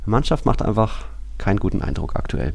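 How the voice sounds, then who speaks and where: A man's voice: on the low side, sing-song, fairly quick, vocal fry, darkish tone. A man co-hosting a football podcast, commenting casually in an informal, loosely rambling, colloquial way. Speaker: podcast co-host.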